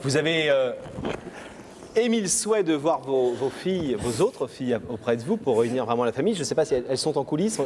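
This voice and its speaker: A man's voice: mid-range voice, sing-song, fast, darkish tone. A man co-hosting a TV show in a studio, announcing guests before an audience. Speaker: TV show co-host. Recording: studio audience and noise behind, voice off-mic.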